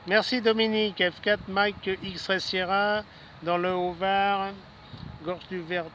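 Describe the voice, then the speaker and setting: A man chatting casually as an amateur radio operator in a friendly way, speaking outdoors in a city. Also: fairly high pitch. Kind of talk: casual ham radio exchange. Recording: outdoor city ambience and traffic noise.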